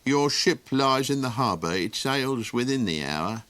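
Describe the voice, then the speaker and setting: A man's voice: medium pitch, expressive, unhurried, a bit bright. A man voicing a whimsical, forgetful Golok who rambles and stumbles over his words. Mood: rambling. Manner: rambling, hesitant, trailing off.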